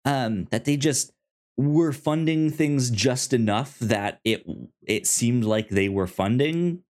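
A man co-hosting a podcast in casual review chat, halting and often stammering over repeated words. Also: medium pitch, a little mellow. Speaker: podcast co-host.

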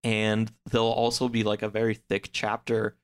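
A man's voice: fairly low pitch, completely flat delivery, moderate pace, clean tone. A man appearing as a podcast guest, chatting casually and informally about comics with lots of filler "like". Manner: informal, wordy, full of "like".

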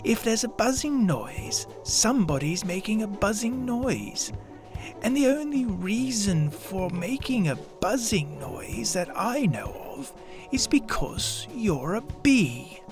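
A man voicing a simple, earnest, honey-loving bear in a children's storybook reading, musing slowly with pondering, repeated phrases. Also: high voice, very animated, over music, voice pushed up, full character voice. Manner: slow, pondering, repetitive phrasing.

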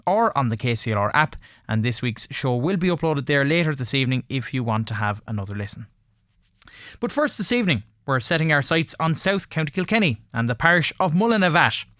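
A man's voice: on the high side, pushed and bright, no echo, clean studio sound. A man with an Irish accent presenting a radio programme, reading a scripted introduction as host.

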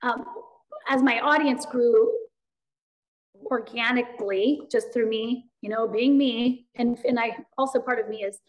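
A woman guest in casual conversation, outspoken and animated, talking quickly with many fillers. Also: on the high side, rough recording. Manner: rapid, animated, lots of fillers; self-described loud voice, outspoken.

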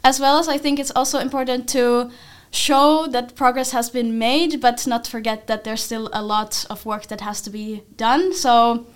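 A young woman from Finland speaks as a student guest on a podcast. Her voice is on the high side and very clear. She discusses things in an informal way. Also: steady tone, normal speed, hardly any pauses. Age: young adult.

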